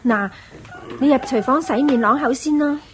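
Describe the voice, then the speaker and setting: A woman's voice: sits high, normal speed, a little hiss or hum, lightly acted. An actress playing a hard-working, caring young wife and mother in a radio drama, solicitous and resigned, with a Hong Kong Cantonese accent. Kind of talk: Cantonese-language Buddhist radio drama acting.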